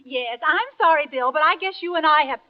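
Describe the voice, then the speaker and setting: A woman's voice: high voice, brisk, lightly acted. A woman playing a man-crazy friend who swoons over a crooner, in 1940s radio comedy style. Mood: dreamy. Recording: muffled, very noisy 1940s radio recording.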